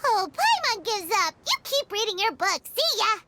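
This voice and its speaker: A woman voicing a tiny, excitable, childlike fairy companion in an anime game, chatty, whiny and squeaky. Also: moderate pace, heavy background noise, heavily acted. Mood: exasperated, indignant. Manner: refers to self in third person, expressive. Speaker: travel guide companion.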